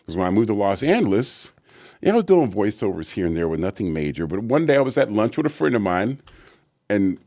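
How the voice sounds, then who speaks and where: A middle-aged man with a booming, very low voice tells stories as an interview guest. His pitch rises and falls a lot as he acts out what others said. The sound is dry and close. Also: a little fast, no room sound. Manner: deep resonant voice, animated storytelling with acted-out quotes. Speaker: actor and voice actor guest. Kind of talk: storytelling interview answers.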